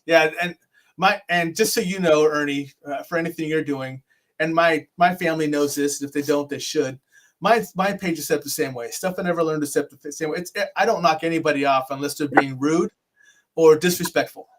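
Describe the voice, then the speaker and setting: A man's voice: on the high side, brisk. A man hosting an online talk show, closing out an interview with friendly thanks and frequent repeated words. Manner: warm-hearted, repeats words, says "brother". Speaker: show host. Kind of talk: casual interview chat.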